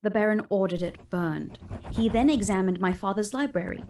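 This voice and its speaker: An actress playing a solemn young gentlewoman narrating an ordeal in a radio drama, measured, formal and restrained. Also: mid-range voice, slight background noise, lightly acted, low-quality recording. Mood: somber recounting.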